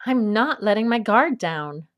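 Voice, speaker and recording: A woman playing a patient, wry adventuress and peacemaker in an audio drama, with a gentle, reassuring manner. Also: a little high, expressive, a little slow, noisy background. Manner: gentle, reassuring, dryly witty.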